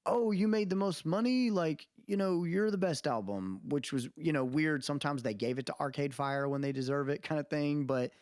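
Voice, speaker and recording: A man's voice: on the high side, normal speed, few pauses, a little mellow, no echo, studio quality. A man talking casually and emphatically as a podcast co-host, giving opinions in informal, colloquial language. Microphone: close podcast mic on remote recording.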